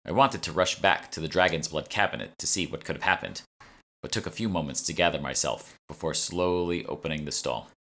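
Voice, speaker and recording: A man narrates a novel as its author. His voice sits low, with a bright, forceful edge, as he reads scripted prose. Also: fairly quick. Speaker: author reading own audiobook. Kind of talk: scripted narration, audiobook reading.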